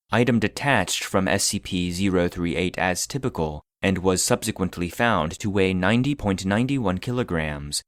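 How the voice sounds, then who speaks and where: A man playing an impassive database voice, reading classified files in a formal, measured, clinical manner for a scripted audio drama. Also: sits low, dry recording, studio quality. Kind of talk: scripted narration of clinical reports.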